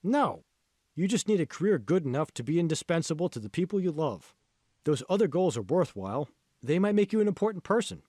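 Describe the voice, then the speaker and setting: A man voicing an earnest, forceful male mentor who lectures emphatically in an audiobook. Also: a little high, big pitch swings, brisk, no room sound, clean studio sound, voice pushed up. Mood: earnest, encouraging. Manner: emphatic, instructive.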